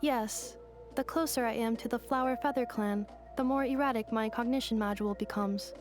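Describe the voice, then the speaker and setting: A woman voicing a polite, earnest young female robot in a video game, speaking in formal, measured, clinical phrases. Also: on the high side, over music, close to an everyday voice. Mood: hesitant, matter-of-fact. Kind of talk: video game character acting.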